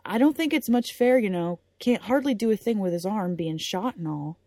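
A woman's voice: mid-range voice, animated, moderate pace, warm tone, dry recording, clean studio sound, lightly acted. A woman voicing a big, awkward teenage boy who speaks hesitantly.

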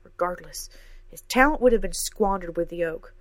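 A woman reading aloud, voicing a small, fretful older woman scholar who talks briskly. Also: fairly low pitch, no room sound, natural voice. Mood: remorseful.

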